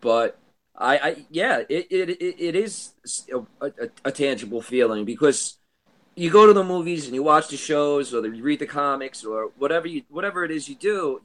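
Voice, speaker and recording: A man in his late thirties hosting a podcast, enthusiastic and halting with many restarts. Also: fairly high pitch, expressive, fairly bright tone. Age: 30s. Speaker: podcast host. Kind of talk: casual podcast chat.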